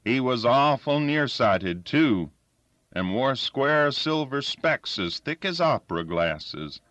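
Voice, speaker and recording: A man's voice: medium pitch, bright, forceful tone, dry recording, muffled recording, pitched above the performer's own voice. A man voicing a crafty old backwoods storyteller in a folksy rural dialect. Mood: gleeful. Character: crafty old backwoods male yarn-spinner.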